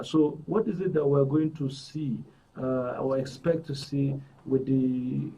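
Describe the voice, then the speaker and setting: A man with a Nigerian accent and a husky voice, hosting a current affairs interview in formal, wordy, measured phrases. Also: mid-range voice. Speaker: host. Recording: dull, distant studio audio.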